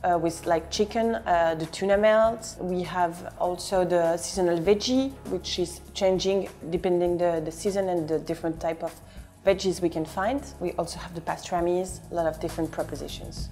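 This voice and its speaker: Woman owner talking, halting and hesitant. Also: lowish voice, fairly even intonation, dark tone. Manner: halting, with many fillers. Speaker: café owner. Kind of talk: casual interview. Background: background music.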